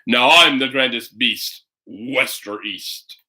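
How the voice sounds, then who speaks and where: A man voicing a fierce, hungry, boastful bear in a storybook, speaking slowly and menacingly. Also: medium pitch, bright, forceful tone. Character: fierce hungry bear, boastful, drooling over a little girl.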